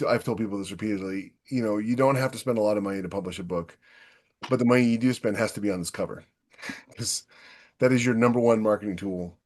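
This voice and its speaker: A man's voice: lowish voice, darkish tone. A man hosting a casual podcast chat, giving opinionated, emphatic advice as an author.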